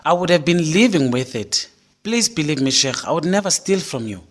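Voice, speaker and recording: Man as young accused man, pleading, respectful, somewhat high pitch, audio drama. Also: expressive, moderate pace.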